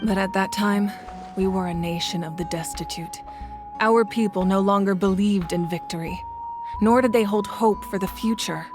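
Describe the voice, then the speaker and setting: A woman playing the calm, grave ruler of a fire nation in a video game, speaking in a measured, formal way. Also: medium pitch, lightly acted. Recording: game audio with loud music.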